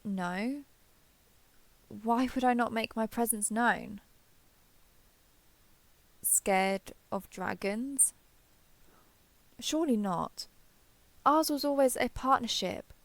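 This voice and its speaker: A woman's voice: fairly high pitch, takes it slowly, pauses often, no echo. A woman voicing a proud, ancient female dragon in a fantasy roleplay audio, with formal, haughty, archaic phrasing. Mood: resolute.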